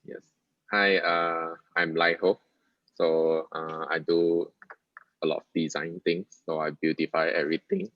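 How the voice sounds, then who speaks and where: A man's voice: low voice, flat delivery, unhurried, dry recording. A man with a Malaysian accent casually introducing himself to colleagues in plain, simple talk. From Ipoh, Malaysia. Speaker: senior UI/UX designer. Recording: dull, muffled lo-fi audio.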